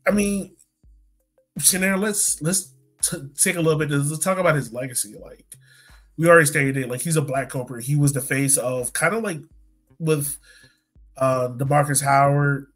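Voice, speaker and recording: Higher-voiced American man chatting sports. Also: normal speed, a bit bright, soft background music. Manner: colloquial, emphatic, repeats points for effect. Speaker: podcast co-host. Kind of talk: casual sports podcast chat.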